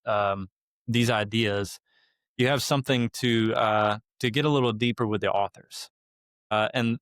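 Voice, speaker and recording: A man's voice: medium pitch, fairly bright tone, smooth, no room sound. A man hosting a podcast, introducing his guests in a friendly way with frequent "uh" fillers. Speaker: podcast host.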